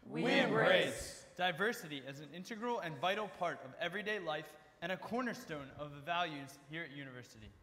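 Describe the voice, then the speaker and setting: A man's voice: a little high, lively intonation, fairly quick, pushed and bright. A male student performer declaiming a scripted readers theater piece on a stage. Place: indoor stage. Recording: reverberant hall.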